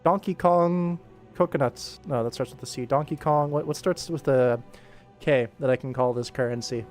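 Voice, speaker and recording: A man's voice: mid-range voice, normal speed, a little mellow. A man talks casually through his work on a livestream, rambling and muttering to himself as he thinks aloud. Game soundtrack music plays behind his voice.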